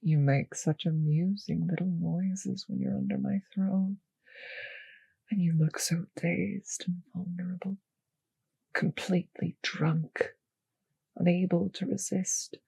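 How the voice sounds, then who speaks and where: Vampire mistress, played by a woman: very breathy, half-whispered, seductive and possessive, close dry ASMR delivery with some creak. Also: on the low side, steady tone, warm tone, no echo, full character voice. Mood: soothing, hypnotic. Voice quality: breathy, often slipping into whispers. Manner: slow coaxing, teasing, commanding. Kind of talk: intimate ASMR audio roleplay. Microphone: close microphone, dry.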